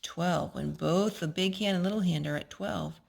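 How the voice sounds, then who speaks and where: Woman reading as patient, husky-voiced storybook father. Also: on the low side, normal speed, darkish tone, full character voice. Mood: patient, instructive. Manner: instructive. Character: patient father instructing his young son.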